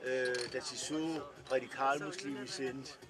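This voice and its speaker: A man visiting an art exhibition, chatting agreeably and often answering with quick yeses. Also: mid-range voice, level tone. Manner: agreeable, lots of quick "yes, yes". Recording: busy exhibition crowd with music.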